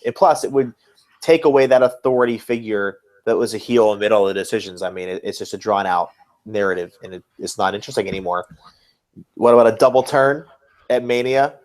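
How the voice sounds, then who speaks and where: A man's voice: fairly low pitch, brisk, poor audio. A man co-hosting a podcast, casually discussing wrestling in informal, slangy language with some swearing. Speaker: podcast co-host.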